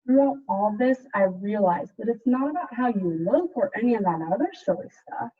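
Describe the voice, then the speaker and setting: A woman teacher reading a storybook aloud to students in an animated, chatty way, with casual asides. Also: fairly high pitch, moderate pace, breathy edge, narrow, tinny audio. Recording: distant mic, thin band-limited sound.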